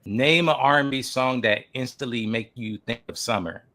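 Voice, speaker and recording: A man's voice: medium pitch, normal speed, sharp tone. A forty-year-old man hosting a casual podcast interview in a laid-back way, often repeating short affirmations.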